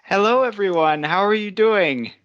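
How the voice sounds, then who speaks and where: A man teaching an online English lesson, explaining step by step in an unhurried way with frequent "uh"s over a video call. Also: sits high, a bit bright, lo-fi sound. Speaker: guest teacher.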